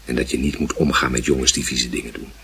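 A man's voice: rumbling low, level tone, quick pace, vocal fry, sharp tone, a little hiss or hum, full character voice. A man playing a stern, moralizing father who admonishes and questions his young son in a radio play. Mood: stern, admonishing.

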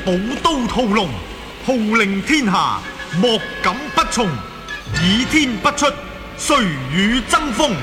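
Over loud music, a man slowly declaims a radio drama title with great swoops in pitch. He has a Hong Kong Cantonese accent and a theatrical announcer's delivery. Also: lively intonation.

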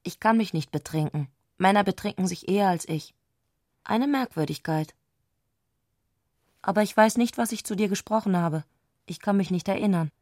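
An actress playing an eccentric, excitable woman speaking an intimate letter in intense, abrupt short sentences, in a literary radio play. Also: mid-range voice, moderate pace, pauses often, no room sound, studio quality, close to an everyday voice. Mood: intimate, insistent.

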